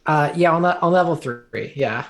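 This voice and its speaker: A man co-hosting a game development stream, chatting casually with wry, relaxed asides over a video call from a home room. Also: on the high side, moderate pace, slight background noise. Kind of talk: casual chat during a dev stream.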